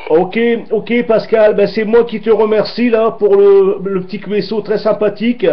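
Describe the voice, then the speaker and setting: A man's voice: sits high, level tone, moderate pace, dull audio, a little hiss or hum. A man chatting as a radio operator, hesitant and often repeating his phrases, with call signs. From France.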